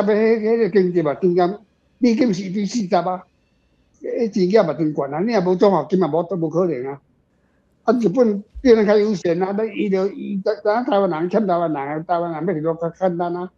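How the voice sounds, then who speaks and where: A Taiwanese speaker with a mellow, dark tone talks casually and at length. The delivery rambles, repeating phrases and checking in with short questions. The sound is a little muffled and lo-fi. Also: mid-range voice, lively intonation, fairly quick. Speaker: guest author answering readers' questions. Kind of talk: casual storytelling discussion.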